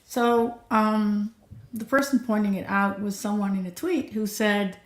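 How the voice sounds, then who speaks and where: A woman's voice: mid-range voice, a little slow. Chatty woman on an echoey call. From Netherlands. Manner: chatty, energetic, self-described nonstop talker. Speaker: guest, accessibility coach. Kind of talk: livestream guest intro.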